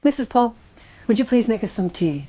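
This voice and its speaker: An actress playing a shaken but composed wife in a crime radio play. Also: female voice, a little high, big pitch swings, a little fast, warm tone, husky, no echo.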